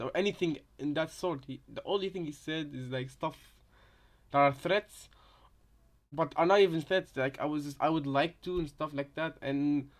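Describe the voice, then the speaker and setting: A man with a somewhat high voice speculates in casual commentary. He rambles, restarts and returns to the same point, checking in as he goes. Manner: rambling, repeats points, often adds "you know". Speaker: reaction video co-commentator.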